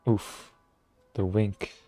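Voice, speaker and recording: A man's voice: very slow, mellow, soft background music. A man giving casual live commentary on a game, with dry joking asides. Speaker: game livestreamer.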